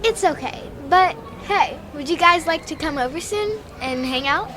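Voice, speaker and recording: A woman playing an earnest, excitable young girl in an audio drama, talking in a hesitant, conversational way. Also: high voice, normal speed. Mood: friendly.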